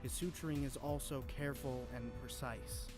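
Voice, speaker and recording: A man's voice: mid-range voice, steady tone, normal speed, natural voice. A man voicing a cool, irritable forensic examiner with a dry, precise, sarcastic delivery in a visual novel. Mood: clinical, irritated. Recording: clear game music behind.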